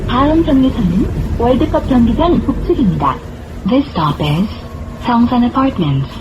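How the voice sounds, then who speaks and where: A woman reading scripted bus stop announcements in a measured, formal manner. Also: a little high, expressive, music in the background. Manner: measured, formal, clearly phrased. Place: played over speakers inside a moving bus. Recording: muffled recording with clear vehicle noise. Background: bus engine and road noise.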